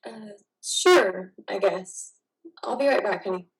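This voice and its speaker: A woman playing a devoted, weary soldier's wife in a stage-style drama, tender but exasperated, with a breathy voice. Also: medium pitch, takes it slowly, slight background noise, rough recording. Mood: hesitant.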